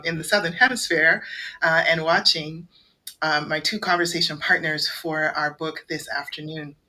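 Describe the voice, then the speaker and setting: Bright-voiced Caribbean-raised woman host. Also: on the low side, rough recording. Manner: welcoming, measured, with many fillers. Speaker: co-leader and host of a book circle. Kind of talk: hosting an online discussion.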